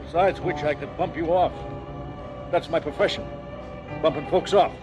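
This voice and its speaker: A man's voice: on the high side, steady tone, moderate pace, dull audio, music in the background, close to an everyday voice. An actor playing a cocky, fretful gangster boss and hitman with clipped, colloquial tough-guy talk in a darkly comic radio drama. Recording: 1970s stereo radio drama.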